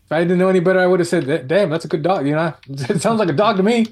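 A man with a slightly high voice speaks in loose, casual chat. He rambles and doubles back on his phrases as he works toward his point. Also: racing, darkish tone. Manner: rambling, repeats phrases as he goes.

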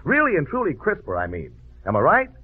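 A man's voice: huge pitch swings, fairly quick, breathy edge. A man announcing in 1940s radio commercial style, selling emphatically in a folksy way. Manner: emphatic, folksy sales pitch. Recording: tinny vintage radio broadcast.

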